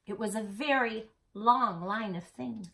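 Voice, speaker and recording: A woman storytime host reading a picture book aloud to children in an expressive, dramatic way. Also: on the high side, unhurried, creaky. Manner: animated, dramatic delivery for children.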